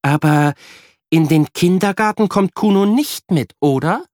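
A man doing a hurried, stressed mother. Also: sits high, darkish tone, dry recording, studio quality, pitched above the performer's own voice. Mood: questioning. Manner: hasty, scolding.

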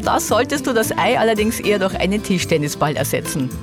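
A woman presenting a scripted tip segment on children's radio, explaining a game in a friendly, clear way. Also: mid-range voice, big pitch swings, fast talker. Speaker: radio presenter giving kids' tips. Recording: music bed behind the voice.

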